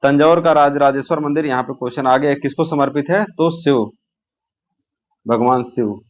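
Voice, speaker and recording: A man's voice: mid-range voice, normal speed, highs cut off. A man teaching an informal exam-prep lesson, posing questions, answering them himself and repeating key terms.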